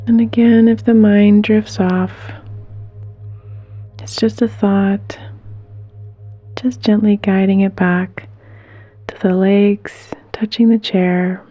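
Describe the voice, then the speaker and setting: A woman leading a guided meditation in a soft, gentle, soothing way, with unhurried pauses and some breathiness. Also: mid-range voice, flat delivery, highs cut off, faint music behind.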